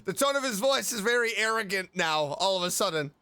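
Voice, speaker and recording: A man's voice: high voice, lively intonation, fairly quick, bright, forceful tone, clean tone, no echo. A man streaming a game, giving casual, playful, exclamatory reaction commentary. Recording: faint game music behind.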